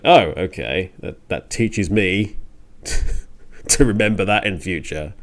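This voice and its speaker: A man hosting a music radio show, rambling casually and thinking aloud with hesitant digressions. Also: on the low side.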